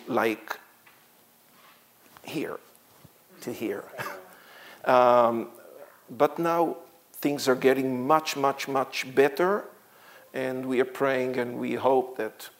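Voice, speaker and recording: Israeli man in his 60s, guest speaker at a church, unhurried delivery, lots of "uh" and "um", chuckles between phrases. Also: mid-range voice. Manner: halting, many fillers, frequent light laughs. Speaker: guest speaker, tour guide. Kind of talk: church talk to a congregation.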